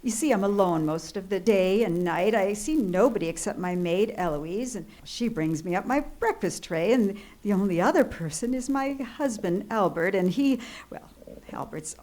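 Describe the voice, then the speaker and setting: An actress playing an anxious, demanding bedridden wife in an old-time radio thriller, flustered and easily exasperated. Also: female voice, medium pitch, animated, normal speed, few pauses, dark tone, close to an everyday voice. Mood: hesitant, uneasy. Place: live theatre stage radio play.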